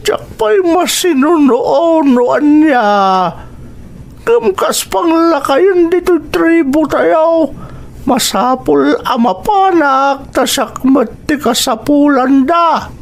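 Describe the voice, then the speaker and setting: High-voiced, slow old Filipino grandfather acted by a man. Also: animated, creaky, exaggerated voice. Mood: grave, kindly. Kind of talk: audio drama acting.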